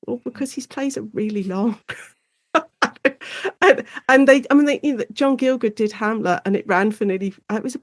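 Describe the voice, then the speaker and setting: A woman speaking as an academic guest in an informal interview, explaining things in an animated way and acting out short quotes. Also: fairly high pitch, moderate pace, dry recording, clean studio sound. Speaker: guest academic, Shakespeare and radio drama specialist.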